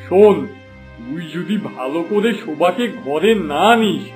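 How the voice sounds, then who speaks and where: A stern cartoon village headman, commanding and quick to scold. His voice is high for a man, with faint music behind it. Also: lively intonation, a little mellow. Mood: angry, threatening. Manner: authoritative, scolding.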